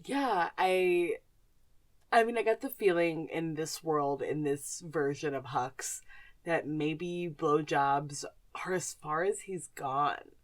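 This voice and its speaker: A woman's voice: low voice, slow. A woman co-hosting a podcast, chatting casually and asking questions in a blunt, emphatic way. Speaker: podcast co-host. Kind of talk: casual, candid chat and interviewing.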